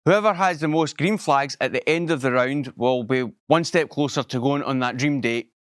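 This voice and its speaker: A man's voice: mid-range voice, normal speed, fairly bright tone, smooth, no room sound, studio quality. A man hosting a dating game show, presenting with a few stumbles and repeated words.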